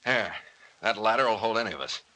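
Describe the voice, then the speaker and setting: An actor playing a commanding, wry, tough captain who gives clipped orders and wisecracks in old-time radio style. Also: male voice, lowish voice, expressive, fairly quick, lightly acted. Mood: brisk, commanding. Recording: noisy old radio recording with clicks.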